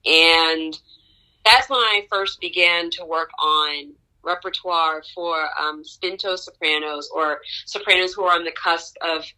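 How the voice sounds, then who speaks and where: A young woman opera singer chats with an interviewer, her voice bright and sharp-edged. She tells stories with animated energy, laughing often and jumping upward in pitch at the exciting parts. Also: unhurried, low-quality recording. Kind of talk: conversational interview storytelling.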